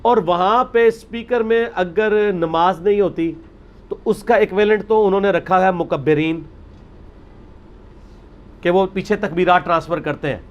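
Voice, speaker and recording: A man's voice: sits high, moderate pace. A man with a Pakistani accent giving an informal religious lecture in an emphatic, argumentative manner, mixing in English words. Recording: dull, lo-fi recording.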